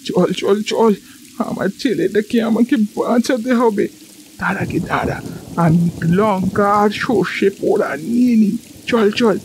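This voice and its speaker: Anxious elderly grandmother for horror animation, played by a man: high, slow, pleading delivery on the verge of tears. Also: noisy background. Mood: frightened urgency. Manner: tearful, slow, repeating her pleas. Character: anxious old village grandmother, fearful for her son. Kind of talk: cartoon horror story voice acting.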